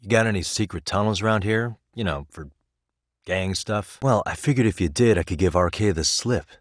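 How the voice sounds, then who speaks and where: Game detective male actor: adult man, low pitch, close dry sound, composed, wry, questioning delivery. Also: moderate pace, no echo, natural voice. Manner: conversational, wry, probing. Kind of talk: video game voice acting.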